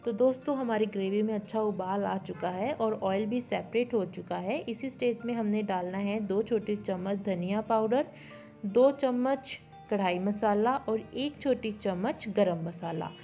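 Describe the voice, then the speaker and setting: A woman's voice: medium pitch, a little slow, mellow, soft background music. A woman giving a step-by-step instructional cooking voice-over, mixing in English words. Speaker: cooking show host. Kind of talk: recipe tutorial voice-over.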